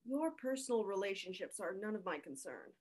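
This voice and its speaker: A woman's voice: mid-range voice, big pitch swings, brisk. A woman playing a dry, formal school principal in a sitcom table read. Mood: stern. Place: home room on a video call.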